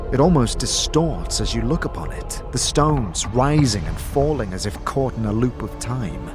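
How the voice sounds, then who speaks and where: A man's voice: medium pitch, big pitch swings, normal speed, over music, natural voice. A man narrating a fantasy adventure as a storyteller, in scripted dramatic narration. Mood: suspenseful.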